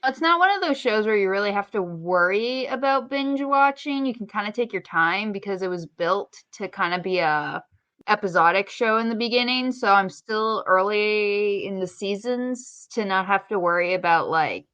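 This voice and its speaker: Bright-voiced woman, chatty podcast co-host. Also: mid-range voice, expressive, normal speed, no echo. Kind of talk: casual podcast chat.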